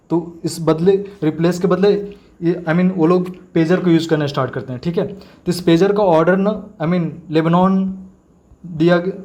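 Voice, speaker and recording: A man's voice: a little high, darkish tone. A man giving an informal explanatory lecture as a teacher, often adding check-in tags and mixing in English terms. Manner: frequent check-in tag phrases, mixes in English terms. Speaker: exam-prep teacher.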